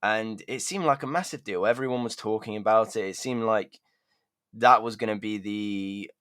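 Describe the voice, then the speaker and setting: A man with a fairly low voice chats loosely on a news podcast. He hedges his points with filler phrases as he explains things. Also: normal speed, fairly bright tone. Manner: chatty, hedging with "sort of". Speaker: podcast co-host. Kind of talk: casual podcast discussion.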